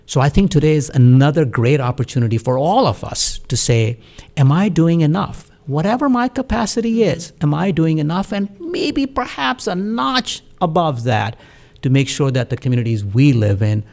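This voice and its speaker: A man from Pakistan, a guest community activist, speaking in a measured, reflective way with frequent fillers in a studio talk show. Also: fairly high pitch, lively intonation, creaky, darkish tone. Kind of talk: earnest talk-show conversation.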